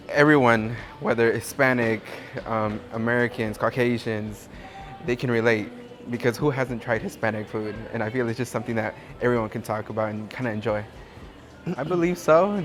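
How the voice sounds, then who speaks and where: A young man speaks at a middle pitch in a thoughtful, searching way, pausing often on fillers as he answers interview questions. His delivery is loose and conversational.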